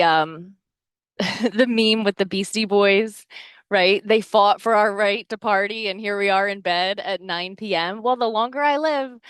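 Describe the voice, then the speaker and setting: A woman's voice: medium pitch, no room sound. Chatty American woman, dry close sound. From New Jersey shore, United States. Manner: chatty, encouraging, conversational asides with frequent "right?". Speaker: wellness podcast host. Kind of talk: casual motivational chat.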